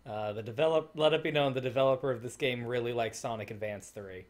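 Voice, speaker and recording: A man's voice: mid-range voice, quick pace. A man giving casual, joking game commentary and reading on-screen text aloud as a let's play host.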